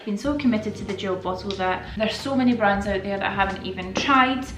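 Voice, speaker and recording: A woman's voice: medium pitch, a little fast, heavy background noise. A woman from Estonia talking casually and playfully to herself and her viewers, like a home vlogger. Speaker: vlogger, nail technician. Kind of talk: casual chatty vlog voice-over while tidying.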